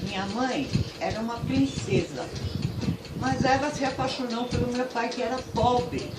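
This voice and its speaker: A woman's voice: a little high, normal speed. Elderly Portuguese-born woman, distant and echoey. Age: 80s. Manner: short plain answers. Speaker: interviewee. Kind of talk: casual interview. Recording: echoey room, distant mic, loud noise.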